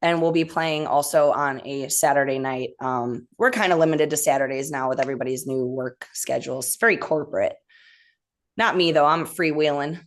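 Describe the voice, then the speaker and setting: Midwestern woman, deep-pitched, easygoing joking band guest. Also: normal speed. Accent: Midwestern American. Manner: laid-back, joking, self-deprecating. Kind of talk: casual interview chat.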